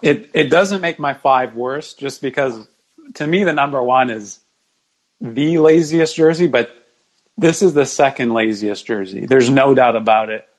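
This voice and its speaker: Man, podcast guest, medium pitch, mild manner, casual sports discussion. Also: measured pace.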